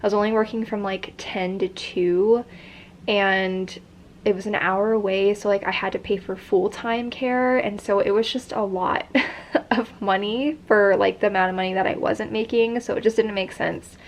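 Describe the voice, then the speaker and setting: A woman's voice: mid-range voice, fairly even intonation, normal speed. A young woman vlogging in a casual chat, congested and stuffy, with a sore throat, talking in a rambling way full of fillers. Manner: chatty, many fillers like "like" and "um".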